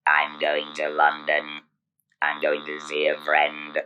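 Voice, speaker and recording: Buzzing, very deep monotone electrolarynx man. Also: slow pace, muffled recording. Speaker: electrolarynx user demonstrating. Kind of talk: instructional demonstration. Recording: clearly noisy, with steady device buzz.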